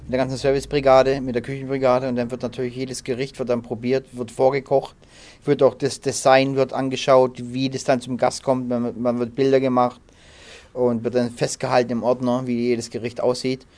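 A man in his thirties from Berlin, a head chef answering interview questions in a halting way with many fillers and restarts. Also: mid-range voice, flat delivery, a little mellow. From Berlin, raised in southern Germany. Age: 30s.

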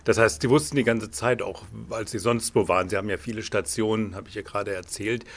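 A man's voice: lowish voice, steady tone, fast talker. A man presenting a scripted report and then asking interview questions, with a fluent, polished delivery. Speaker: radio interviewer and narrator.

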